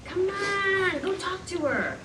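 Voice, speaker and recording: A woman's voice: very high-pitched, sing-song, takes it slowly, exaggerated voice. An actress voicing an eager, nudging woman on a train platform in a sitcom table read. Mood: pushy. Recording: echoey, distant, loud hiss.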